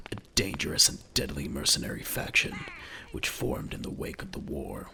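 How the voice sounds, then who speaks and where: Very deep, gravelly male dramatic narrator. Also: noisy background.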